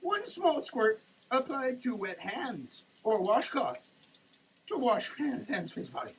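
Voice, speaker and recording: A man performs a theatrical dramatic reading in a high voice that swings widely in pitch. His delivery is halting and over-emphatic, played for comedy. There is light ambient noise in the recording. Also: very animated, poor audio. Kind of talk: dramatic reading of a product label.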